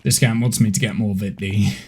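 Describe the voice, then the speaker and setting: A man's voice: on the low side, steady tone, fairly quick, husky. Congested man chatting over a game. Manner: chatty, rambling, restarting his sentences. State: congested, with a head cold. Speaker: streamer. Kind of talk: casual gameplay chat on a live stream. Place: at home.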